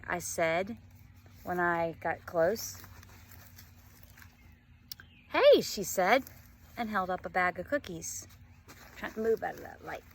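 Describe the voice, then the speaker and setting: Female storybook reader, bright clear-cut tone, animated delivery, outdoor garden setting. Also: mid-range voice. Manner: animated, acts out dialogue. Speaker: teacher reading a book aloud. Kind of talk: expressive storybook read-aloud. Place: outdoors in a garden bed in a yard.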